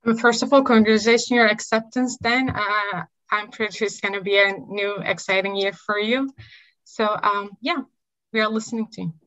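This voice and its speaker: A woman's voice: medium pitch, steady tone, brisk, fairly bright tone. Encouraging woman interviewer, lo-fi sound. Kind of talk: friendly interview. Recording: dull lo-fi sound.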